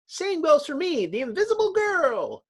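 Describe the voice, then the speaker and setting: A man's voice: extremely high pitch, wildly swooping pitch, normal speed, voice pushed up, exaggerated voice. A man voicing an eager young woman superhero in a comic book story, exclaiming her lines.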